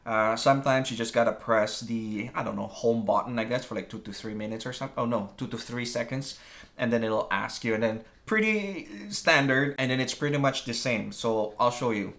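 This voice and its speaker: A man's voice: medium pitch, normal speed, creaky, fairly bright tone. A man hosting a casual how-to tech video in an upbeat, chatty way. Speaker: host of a smart home tech channel.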